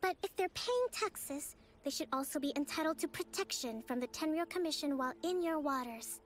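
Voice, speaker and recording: A woman's voice: sits high, expressive, fairly quick. A woman playing a poised, firm young island leader in a video game, speaking politely and articulately like a diplomat. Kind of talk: scripted video game dialogue.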